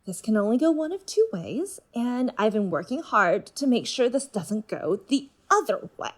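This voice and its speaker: A woman's voice: fairly high pitch, sing-song, moderate pace. A woman playing a manipulative alien creature in a human body, coaxing and controlling, in an audio drama. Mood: urgent, threatening. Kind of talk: fiction podcast audio drama acting.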